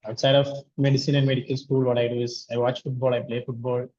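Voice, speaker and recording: A man's voice: mid-range voice, flat delivery, quick pace, a little mellow. Young man on a dull line. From India. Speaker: medical student presenter. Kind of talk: case presentation. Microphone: video call.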